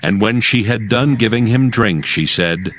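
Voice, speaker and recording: A man's voice: lowish voice, unhurried, a bit bright, soft background music. A man narrating scripture for a dramatized audio Bible in a formal storytelling manner.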